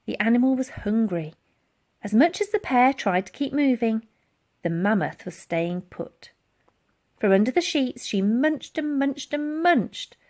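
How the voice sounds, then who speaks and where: A woman reading a children's storybook aloud as scripted, expressive narration. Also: fairly high pitch. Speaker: storybook reader.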